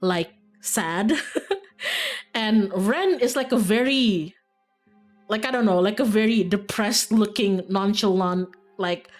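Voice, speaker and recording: A woman's voice: mid-range voice, expressive, slow, faint music behind. A woman streamer chatting casually while playing a visual novel, rambling and informal with frequent giggles. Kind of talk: casual chat, live game stream.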